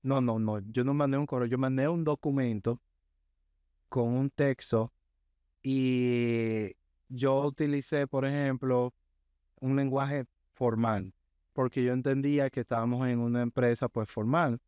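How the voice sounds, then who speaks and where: Podcast guest guy, smooth clear voice, dry sound, calm steady storytelling, keeps asking "right?". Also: mid-range voice, dry recording. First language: Spanish. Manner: measured storyteller, often checks in with "right?". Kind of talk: casual interview chat.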